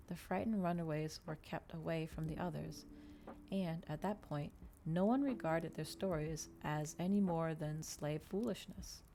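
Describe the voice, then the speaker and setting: A woman reading a novel aloud in a soft, measured ASMR storytelling style, stumbling now and then. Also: low-pitched, slight background noise.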